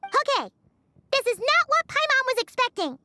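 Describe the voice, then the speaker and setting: A woman voicing a small, naive, excitable fairy companion in a video game, with a squeaky childlike voice. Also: moderate pace, heavily acted. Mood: puzzled, curious. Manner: exclamatory, refers to herself in third person. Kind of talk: video game character dialogue.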